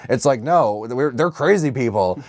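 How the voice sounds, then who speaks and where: A man co-hosting a podcast in casual comedic banter, swearing freely and telling stories in an animated, riffing way. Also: mid-range voice, normal speed, no room sound, studio quality. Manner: profane, riffing, animated storytelling. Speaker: podcast co-host. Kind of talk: casual comedic banter about films and comics.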